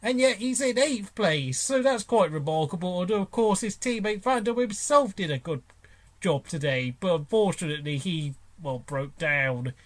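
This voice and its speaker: A man reviewing a motor race as host, talking off the cuff in a blunt, opinionated way with halting breaks mid-sentence. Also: high voice, animated. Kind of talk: unscripted race commentary and driver ratings.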